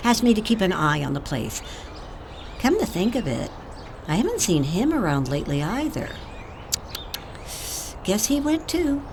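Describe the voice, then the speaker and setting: Casting: chatty, nosy woman next door for radio play, very animated intonation, faint outdoor noise. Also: mid-range voice, normal speed, vocal fry, long pauses. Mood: offhand. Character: nosy, casual female neighbor minding an absent man's house. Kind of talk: audio drama acting.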